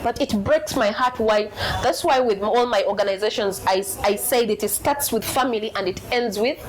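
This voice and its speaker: Strong-voiced woman speaking with deep feeling. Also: moderate pace, a crowd in the background, low-quality recording. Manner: emphatic and heartfelt, repeating words when moved. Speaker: interview guest. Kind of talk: candid conversational interview.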